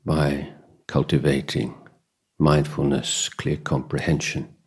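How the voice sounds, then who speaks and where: A man who teaches meditation as a Buddhist monk, speaking in a measured, deliberate way with long pauses in mid-phrase and a husky voice. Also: very deep voice, flat delivery, darkish tone. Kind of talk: guided meditation and dhamma talk.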